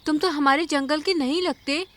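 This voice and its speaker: A woman's voice: sits high, fairly even intonation, normal speed, fairly bright tone, a little hiss or hum. A woman voicing a concerned, clever female bird in a cartoon, speaking earnestly. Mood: suspicious, concerned. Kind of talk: cartoon character acting.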